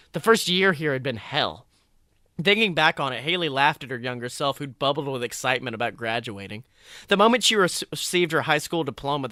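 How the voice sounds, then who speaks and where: Bright, forceful male story reader. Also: fairly high pitch, dry recording, studio quality. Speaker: narrator reading fan fiction. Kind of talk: expressive story reading.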